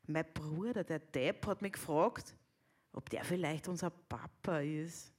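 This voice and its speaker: A woman's voice: low voice, a little slow, slightly rough, voice pushed down. A woman reading a dialect poem in the voice of a stuttering young child, with a Viennese accent. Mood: wry.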